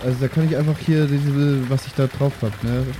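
A man's voice: medium pitch, steady tone, brisk, noisy background. A man playing a tabletop role-playing game, grumbling casually in character.

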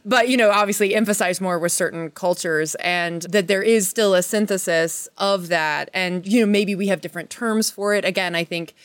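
A woman's voice: medium pitch, moderate pace, few pauses, no echo. Earnest woman guest, dry close sound. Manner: earnest, wordy, searching for words with restarts. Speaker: podcast guest, performer. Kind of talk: casual, reflective podcast conversation.

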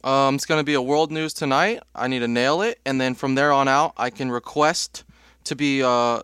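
A man's voice: mid-range voice, moderate pace, a bit bright, clear, resonant tone, no echo, clean studio sound. A man on a radio show, earnest and compliant, talking like an amateur trying out as a newsreader. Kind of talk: amateur comic newscast and short replies.

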